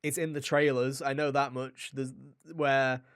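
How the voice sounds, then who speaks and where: A man's voice: mid-range voice, flat delivery, a little slow, a bit bright, clear, resonant tone, dry recording, clean studio sound. A man co-hosting a video game podcast, chatting in an informal, rambling and jokey way. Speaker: podcast co-host reviewing video games. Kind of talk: casual chat.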